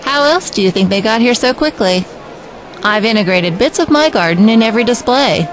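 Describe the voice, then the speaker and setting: A woman's voice: fairly high pitch, animated, a little fast, lightly acted, rough recording. A woman playing a proud, cheerful garden designer in an audio drama, self-satisfied and friendly.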